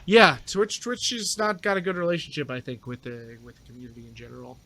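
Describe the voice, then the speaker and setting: A man's voice: medium pitch, flat delivery, fast, vocal fry, sharp tone. A man chatting casually as a podcast guest, speaking in an opinionated, emphatic way. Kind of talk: casual chat.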